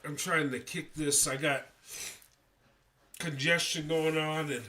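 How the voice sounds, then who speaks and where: A man's voice: a little high, steady tone, takes it slowly, pushed and bright. A congested man hosting a casual livestream, talking in a rambling, hesitant way with many fillers and restarts. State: congested, stuffy nose. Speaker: livestream host.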